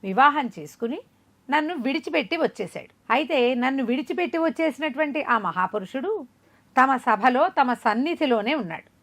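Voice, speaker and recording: A woman storyteller giving voice to a wronged young woman who pleads earnestly before the king. The voice sits fairly high and stays close to the teller's own, an earnest appeal read aloud in a spoken folk tale. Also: lively intonation, normal speed, fairly bright tone, heavily acted. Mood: pleading.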